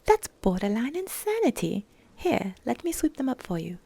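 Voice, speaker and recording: Bubbly coaxing young woman, lively and bouncy pitch, read by a female storyteller. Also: normal speed, vocal fry, husky, heavily acted. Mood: playful. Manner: teasing, excitable, coaxing.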